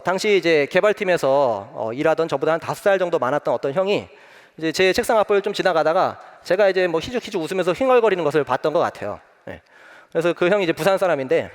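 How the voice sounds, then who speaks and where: A young man preaching a sermon as pastor, telling a personal story with many pauses and filler sounds. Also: fairly high pitch, in a large hall. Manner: gentle, frequent "eo" fillers, warm storytelling.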